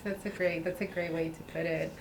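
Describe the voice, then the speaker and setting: Attentive woman interviewer, low-pitched. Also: level tone, a little fast, vocal fry. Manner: attentive, frequent soft backchannels. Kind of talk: oral history interview. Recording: faint background noise.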